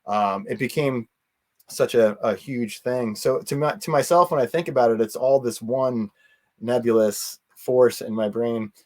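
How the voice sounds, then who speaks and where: A man with an average-pitched voice talks as a guest in a casual interview. He is earnest and rambles, feeling his way haltingly through long, looping thoughts. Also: a little mellow. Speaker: interview guest, convention organizer.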